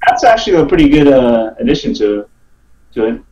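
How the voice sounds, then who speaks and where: A man's voice: mid-range voice, expressive, a little fast, warm tone, slightly rough, highs cut off. A man chatting casually about game mechanics as a podcast guest, tentative and unsure in his manner. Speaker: guest player on a gaming podcast. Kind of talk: casual chat, game analysis.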